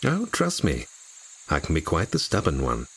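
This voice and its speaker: Man as a deep, husky fallen angel. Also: huge pitch swings, a bit bright, full character voice. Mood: wry, courteous. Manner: measured, dryly polite, wry. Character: brooding, wry, commanding male fallen angel. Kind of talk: fantasy audio drama acting.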